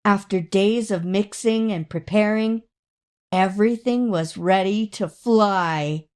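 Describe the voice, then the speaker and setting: A woman reading a children's story aloud in an expressive, dramatic way, drawing out words for emphasis. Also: mid-range voice, clean tone. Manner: dramatic, with drawn-out emphasis and acted sound words. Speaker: storybook reader.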